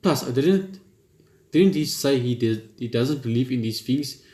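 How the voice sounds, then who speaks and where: A man's voice: mid-range voice, unhurried. Man reading a visual novel aloud. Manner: steady first-person reading with frequent stumbles and restarts. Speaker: gaming channel host. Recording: roomy room with loud game music.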